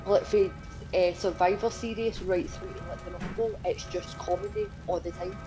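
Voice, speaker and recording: A woman's voice: lowish voice, fairly even intonation, normal speed, over music. A woman in her twenties with a Scottish accent, chatting casually and bluntly as a stream co-host, swearing freely. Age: 20s. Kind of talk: casual chat during a gaming stream.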